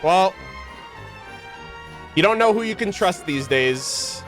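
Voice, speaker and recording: A man with a slightly high voice talks at a slow, loose pace over music. He sounds like a casual game streamer, using slang and joking with his chat. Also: animated, a bit bright. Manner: informal, slangy, joking with viewers. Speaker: live streamer.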